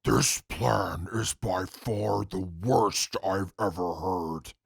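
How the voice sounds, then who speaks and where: Guy as a deadpan scornful crewmate, crawling pace, croaky rasp, radio drama. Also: deep voice, no echo, clean studio sound, heavily acted. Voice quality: raspy. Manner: deliberate, formal, scornful. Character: disdainful, stern, deadpan crew member. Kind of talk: audio drama acting.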